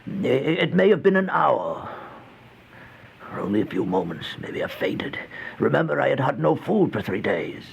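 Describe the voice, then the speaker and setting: Starving drifter telling an old radio horror story, really slow n croaky, breathy, tormented, bit of noise on it. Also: male voice, mid-range voice, big pitch swings, exaggerated voice. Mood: exhausted, haunted. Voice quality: gravelly, breathy, dropping to near-whispers. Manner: halting, slow, theatrical, anguished. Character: exhausted, starving, haunted homeless man recounting a horror tale. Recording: noisy old radio recording.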